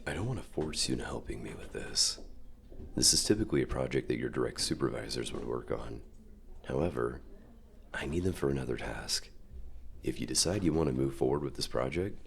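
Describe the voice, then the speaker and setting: A man playing a suave, dominant company CEO in an ASMR roleplay, speaking to the listener with measured, polite, quietly controlling reassurance and deliberate pauses. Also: a bass voice, expressive, gravelly, slight background noise, exaggerated voice. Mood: persuasive, confident. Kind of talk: ASMR roleplay acting, addressing the listener directly.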